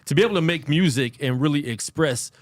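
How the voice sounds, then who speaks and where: Animated podcast host in his late forties. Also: a little high, fast, no room sound. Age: 40s. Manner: animated, talks over others, repeats words. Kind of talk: casual interview chat. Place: podcast studio.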